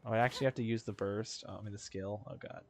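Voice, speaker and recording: A man doing casual, informal gameplay commentary on a livestream, thinking out loud and swearing freely. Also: fairly low pitch, steady tone, rapid-fire. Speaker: game streamer. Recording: game music behind the voice. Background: video game music.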